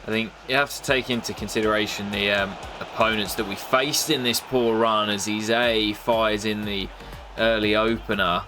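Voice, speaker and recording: A young man with a nasal voice from a cold, commentating his football game in a casual play-by-play that jumps up excitedly at big moments. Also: normal speed, over music. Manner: excitable, bursts up in pitch at goals and misses. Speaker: gaming YouTuber commentating his career mode.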